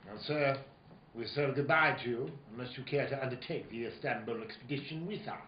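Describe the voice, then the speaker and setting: A man playing a genial, wordy, calculating gentleman villain in a theatrical old-time radio play, pompous and courtly. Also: mid-range voice, brisk, natural voice. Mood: jovially courteous. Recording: roomy, dull, faintly noisy live recording.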